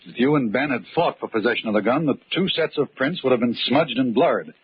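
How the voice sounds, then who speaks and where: A calm, clever murder suspect. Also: male voice, mid-range voice, slightly rough. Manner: calm, measured explaining. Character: Steve Raymond, man accused of murder, calm and clever. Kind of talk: 1940s radio acting. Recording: muffled old radio recording with faint hiss.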